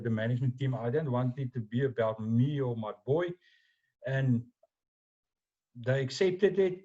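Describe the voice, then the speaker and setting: A man's voice: medium pitch, darkish tone. A man, a rugby club official, speaking earnestly on a video call, often repeating words and restarting sentences. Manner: earnest, with frequent word repetitions and restarts. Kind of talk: informal speech on a video call. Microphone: video call microphone. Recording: dull, lo-fi video call audio.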